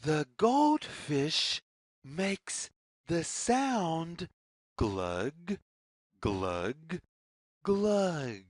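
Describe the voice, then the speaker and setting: A woman reads a children's story aloud at a very slow pace. Her slightly low voice swings widely up and down in a singsong, repeating pattern. The sound is dry and close, as in a read-along for small children. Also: very animated, no room sound. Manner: deliberate, singsong, repetitive phrasing with questions to the listener. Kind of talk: read-aloud picture book for young children.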